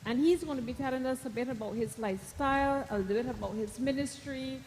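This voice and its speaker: A woman's voice: high voice, quick pace, a little mellow. A woman hosting an interview program, welcoming a guest in a slightly formal manner. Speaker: program host. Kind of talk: broadcast interview. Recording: echoey hall with background noise.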